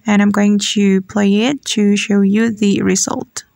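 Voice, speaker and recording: Creaky-voiced woman narrating a tutorial. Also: mid-range voice, little pitch movement, measured pace, a little mellow, dry recording. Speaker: tutorial host. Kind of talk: step-by-step software tutorial narration.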